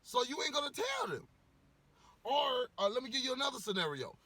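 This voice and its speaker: Slightly hoarse guy ranting to his camera, husky bright voice, rambles, repeats himself, cusses a lot. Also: very high voice, big pitch swings, brisk, dry recording. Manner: rambling, repeats words, frequent "you know"; blunt, swears freely. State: losing his voice a little. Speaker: vlogger giving opinions. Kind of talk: casual rant to camera.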